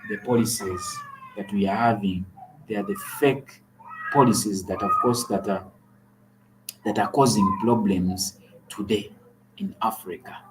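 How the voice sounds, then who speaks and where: A man's voice: fairly low pitch, a little slow, long pauses, background noise. A man from Uganda co-hosting a talk show, giving earnest political commentary and often repeating words as he restarts.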